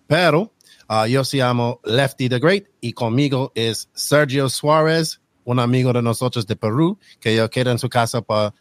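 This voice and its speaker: A man whose first language is English, hosting a casual podcast in halting Spanish and slipping into English now and then. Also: mid-range voice, dry recording. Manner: halting non-native Spanish with slips into English. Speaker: podcast host.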